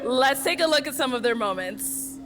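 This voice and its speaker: A woman hosting a reunion show, briskly moving the panel to the next topic. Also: high-pitched, lively intonation, over music. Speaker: host.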